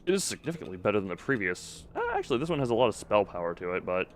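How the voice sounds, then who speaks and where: Animated man streaming a video game. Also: fairly low pitch, rapid-fire, a little hiss or hum. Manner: rambling, thinking aloud. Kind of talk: casual gameplay commentary with live chat.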